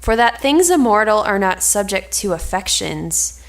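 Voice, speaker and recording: A woman's voice: mid-range voice, very animated, moderate pace. A woman playing a sensible, reproving male friend in a stage play, reading formal archaic lines. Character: sensible, scolding male friend of a lovesick nobleman.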